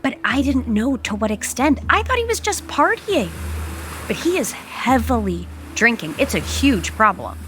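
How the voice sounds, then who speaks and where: A woman's voice: fairly high pitch, very animated, moderate pace, a bit bright, slight background noise. A woman acting a remorseful, worried businesswoman in an audio soap opera, with a serious, confessional delivery. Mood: regretful, worried. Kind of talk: naturalistic soap opera dialogue. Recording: studio drama with music underneath. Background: music.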